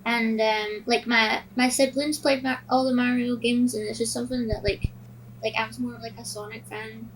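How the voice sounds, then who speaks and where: A woman's voice: a little high, little pitch movement, normal speed, slight background noise, rough recording. A woman co-hosting a podcast in casual chat, with hesitant phrasing full of "like". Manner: hesitant phrasing, frequent use of "like". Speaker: podcast co-host.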